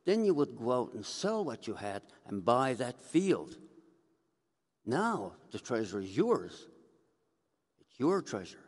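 Older priest with a lively, rising-and-falling delivery. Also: male voice, medium pitch, takes it slowly, pauses often. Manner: conversational storytelling, gesturing as he speaks. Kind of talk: sermon. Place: church sanctuary, indoors. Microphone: gooseneck lectern mic. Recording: reverberant church hall.

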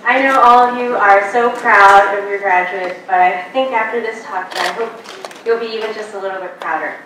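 A young woman giving a prepared commencement speech in a measured, earnest way, speaking at a podium in a large lecture hall. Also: medium pitch, fairly even intonation, fairly bright tone. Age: young adult. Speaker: graduate student commencement speaker. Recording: distant, very echoey hall, faint noise.

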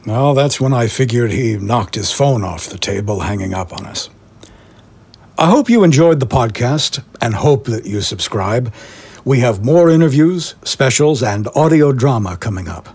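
A man's voice: mid-range voice, animated, moderate pace, a little mellow, breathy edge. A man hosting a mock podcast interview in a conversational way, polite and a little hesitant.

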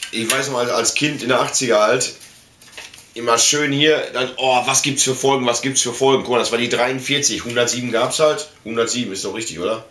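A middle-aged man hosts a casual unboxing video in loose, slangy speech that rambles along. His voice sits at an average pitch for a man. The room rings noticeably around him, with faint noise behind. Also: fairly bright tone. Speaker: video host. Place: small indoor room full of collectibles. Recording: roomy echo, slight background noise.

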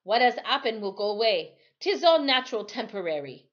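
Woman voicing a protective old sailor, pitched up. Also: very animated, normal speed, lo-fi sound. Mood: gentle, soothing. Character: gentle old West Indian sailor, big man, protective.

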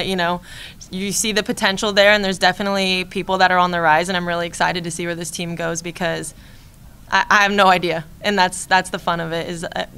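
A young woman in her twenties, a college softball catcher, answering postgame press questions at a desk mic, calm and steady, repeating key phrases. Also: lowish voice, clean tone. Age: 20s. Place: press room in front of a team backdrop. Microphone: desk mic on a stand.

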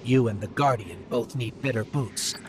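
A man's voice: mid-range voice, moderate pace, background noise, close to an everyday voice. An actor voicing a small male robot companion in a video game, loyal, caring and anxious, speaking in an earnest, articulate way. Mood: concerned.

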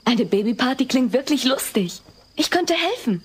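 A woman's voice: high voice, big pitch swings, moderate pace, slight background noise. A woman playing a helpful, cheerful young daughter in a German-dubbed sitcom radio play. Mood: eager.

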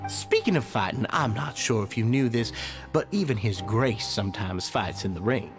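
Man as deferential game character, formal, earnest, lively intonation, clear music behind. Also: fairly low pitch, normal speed, natural voice. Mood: thoughtful. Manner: courteous, formal, eager to please. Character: polite, deferential, earnest male society leader. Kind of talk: video game character dialogue.

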